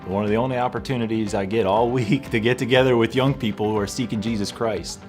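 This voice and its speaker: A twenty-six-year-old man speaks with emphatic enthusiasm in a low voice. He talks with conviction, building his points up. Faint guitar music plays beneath. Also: steady tone, fast. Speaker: church young adults group member. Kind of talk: testimonial interview. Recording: soft music underneath.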